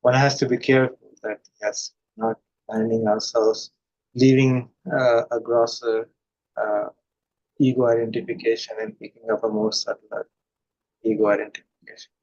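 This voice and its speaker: Gravelly man from India, lo-fi sound. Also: mid-range voice, flat delivery, moderate pace, a little mellow. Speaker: guest. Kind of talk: reflective conversation.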